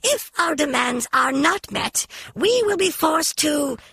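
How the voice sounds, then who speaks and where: A high, creaky puppet villain for a spy video game. The speech is haughty and formal, loaded with theatrical menace, in a bright, very dry recording. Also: female voice, lively intonation, slow, dry recording, full character voice. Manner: pompous, formal, theatrically threatening. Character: menacing puppet messenger for a criminal organization, haughty and shrill.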